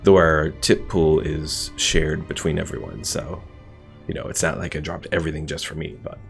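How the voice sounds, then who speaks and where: Male streamer, low register with vocal fry, casual rambling game commentary to chat. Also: steady tone, moderate pace. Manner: rambling, thinking aloud. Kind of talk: casual gameplay commentary while chatting with viewers. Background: game music.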